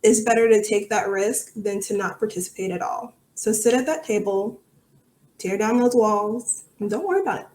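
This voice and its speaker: A woman's voice: medium pitch, moderate pace, a little mellow. A woman giving conversational, outspoken and emphatic answers as a podcast guest. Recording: echoey room sound.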